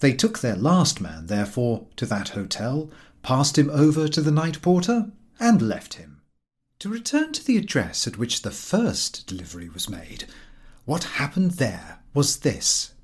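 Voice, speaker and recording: Man narrating with highly animated pitch. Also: measured pace. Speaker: audiobook reader. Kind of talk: scripted literary narration of a humorous story.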